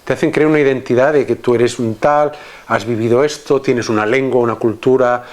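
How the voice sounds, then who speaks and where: A man with a Spanish accent from Catalonia, a guest in a casual conversation, talking in a halting way with many restarts and fillers. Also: medium pitch, steady tone.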